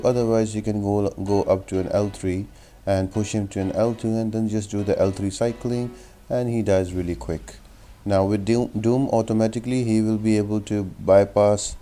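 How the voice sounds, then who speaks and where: A man's voice: fairly low pitch, mellow. A man giving an instructional, step-by-step gameplay tutorial commentary as the host of a game guide.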